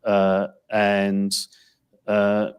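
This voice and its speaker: A man giving casual market commentary as host, in a halting, hesitant way. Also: lowish voice, completely flat delivery, darkish tone, smooth. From Switzerland.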